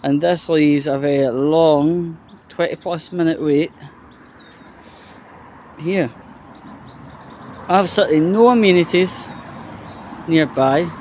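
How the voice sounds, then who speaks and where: A man's voice: on the high side, slow pace, long pauses, darkish tone. A man with a Glasgow-area Scottish accent chatting off the cuff in a casual outdoor vlog, with blunt, sweary grumbling. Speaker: vlogger presenting a walking video. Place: outdoors by a roadside bus stop. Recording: outdoor street noise with passing traffic. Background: traffic and street ambience.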